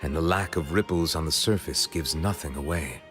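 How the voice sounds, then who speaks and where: An actor playing a composed, formal male chief justice who speaks deliberately and with courtesy. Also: extremely low pitch, fairly bright tone, big-room sound, soft background music. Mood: reflective. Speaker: game character. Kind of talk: studio game voice acting.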